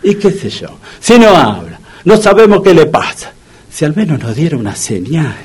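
An Argentine man playing a gloomy, soft-hearted dog owner in a comic radio drama, colloquial and repeating his words. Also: fairly high pitch, sing-song, moderate pace, breathy edge. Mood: mournful, resigned. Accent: Argentine Rioplatense. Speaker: radio comedy actor.

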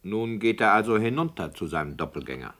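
A bright, skeptical director. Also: male voice, on the low side, brisk. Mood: critical. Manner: formal, critical, expressive. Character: skeptical, formal male radio director.

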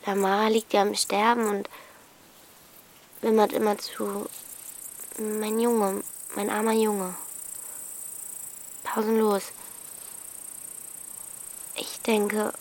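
A woman's voice: mid-range voice, level tone, slow, long pauses, a little mellow. A woman playing a blunt, bossy thirteen-year-old girl in a naturalistic radio drama. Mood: quiet, brooding. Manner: blunt, colloquial, questioning.

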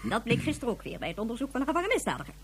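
Stern, high-voiced woman in command. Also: huge pitch swings, breathy edge, heavily acted. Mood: cool, decisive. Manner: formal, measured, lecturing. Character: stern authoritative female chief of a future space society. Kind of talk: Dutch science fiction radio drama acting.

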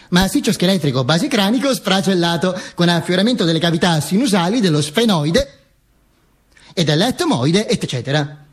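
A vain dictator speaking from beyond the grave. The voice is notably high for a man and rough-edged, husky. He holds forth pompously in a theatrical monologue, stumbling and repeating words. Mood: dry, morbid. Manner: pompous, halting, with stammered repetitions and asides. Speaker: stage actor performing a monologue.

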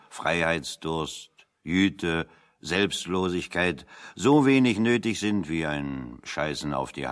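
An actor playing a shrewd working-class refugee in a radio play, talking with wry, mock-formal solemnity. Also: male voice, low voice. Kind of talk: 1970 German radio play dialogue.

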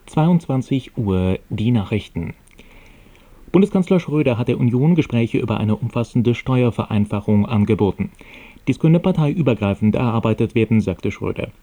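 A man reading scripted radio news in a formal, measured and neutral manner. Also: mid-range voice, expressive, warm tone.

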